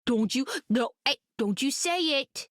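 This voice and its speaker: A woman voicing a bubbly young heroine with squirrel friends, rambling excitably in a video game. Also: fairly high pitch, a little slow, no room sound, clean studio sound.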